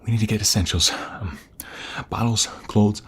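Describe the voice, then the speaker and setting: A man playing a tender, excitable husband and father-to-be in an intimate ASMR audio roleplay, halting and emotional, often dropping toward a whisper. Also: fairly low pitch, breathy edge. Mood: excited, fussing. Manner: halting, emotional, repeats himself. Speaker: voice-over roleplay performer.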